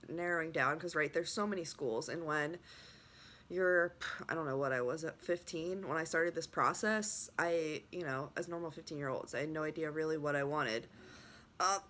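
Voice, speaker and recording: Low, bright-toned young woman, voice still recovering. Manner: rambling, many restarts and fillers. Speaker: lacrosse goalie trainer. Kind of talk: casual vlog storytelling.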